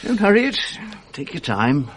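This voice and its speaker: An English actor playing a jaded, dissipated middle-aged script editor and exasperated husband in a radio drama, world-weary, sardonic and blunt, with a gravelly, husky voice. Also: male voice, on the high side, sing-song, moderate pace, a little hiss or hum, poor audio. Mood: wry, hungover. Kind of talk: 1980s BBC radio drama acting.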